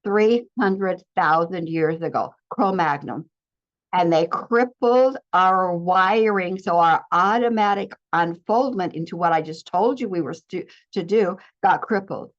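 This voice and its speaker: A woman's voice: fairly low pitch, a little slow. A woman giving an earnest spiritual teaching talk, speaking in declarations with frequent restarts. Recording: slightly dull lo-fi recording.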